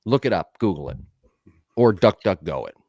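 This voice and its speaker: A man's voice: deep voice, big pitch swings, moderate pace, darkish tone, no room sound, clean studio sound. A man hosting a sports podcast, chatting in an upbeat, talkative way that drifts off on tangents. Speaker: podcast host. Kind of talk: casual podcast chat.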